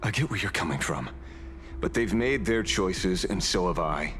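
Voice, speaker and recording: An actor playing a world-weary, middle-aged detective, grim and resigned, in a dramatic game. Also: male voice, sits low, lively intonation, moderate pace, fairly bright tone, slight background noise. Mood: cold resolve. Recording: music behind the voice.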